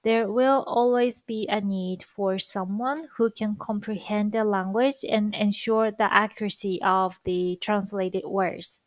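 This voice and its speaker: A woman's voice: medium pitch, steady tone, dry recording. A Japanese-accented woman whose first language is Japanese, sharing reflective thoughts with viewers in a careful, thoughtful way. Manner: slow, careful, thoughtful delivery with soft fillers. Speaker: language-learning vlogger.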